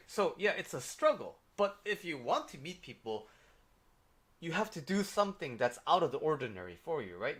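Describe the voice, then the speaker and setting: A man's voice: medium pitch, fairly bright tone. Korean-accented man giving casual advice. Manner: conversational, many fillers and "right?" tags. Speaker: life coach. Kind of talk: casual livestream advice talk.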